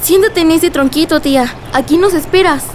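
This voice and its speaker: A woman's voice: very high voice, normal speed, darkish tone, a little hiss or hum. A woman playing an eager, affectionate ten-year-old Mexican girl in a radio drama, speaking earnestly.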